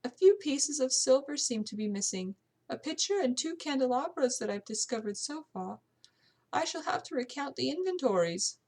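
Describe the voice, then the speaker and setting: A woman's voice: high voice, lively intonation, normal speed, darkish tone, voice pushed up. A woman voicing a proper, tearful older English housekeeper with a put-on British accent, in an audiobook-style story. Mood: cooperative. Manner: proper, deferential, old-fashioned servant speech. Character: tearful prim elderly English housekeeper, loyal servant.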